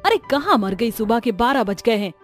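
A woman's voice: a little high, sing-song, normal speed, soft background music. A woman playing a bad-tempered older mother-in-law who scolds and complains in a cartoon story. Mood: nagging irritation.

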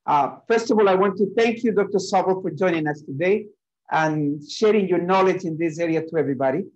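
A man hosting an expert interview, speaking haltingly with frequent fillers. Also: sits high, darkish tone, highs cut off. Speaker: host, center director. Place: conference room.

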